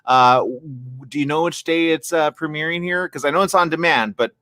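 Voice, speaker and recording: A man's voice: fairly high pitch, brisk, bright, forceful tone, no room sound. A man interviewing a filmmaker in a casual, chatty way, often restarting his sentences.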